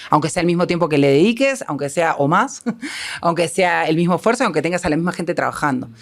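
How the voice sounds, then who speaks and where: A woman with a Uruguayan accent who works as a film producer, answering as a panel guest in a halting, self-deprecating way as she thinks aloud. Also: fairly low pitch, lively intonation.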